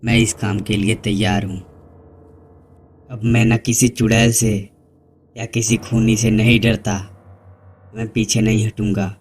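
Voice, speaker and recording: A man's voice: fairly low pitch, little pitch movement, unhurried, pauses often, a little hiss or hum, lightly acted. A man playing a resolute village avenger. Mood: determined. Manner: resolute vows. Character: determined young village man seeking revenge.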